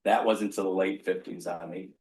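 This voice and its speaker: A man's voice: deep voice, steady tone, moderate pace, a little mellow, far from the mic. A man chairing a city council meeting who talks in a folksy way, recounting local history and calling on speakers. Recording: roomy council chamber.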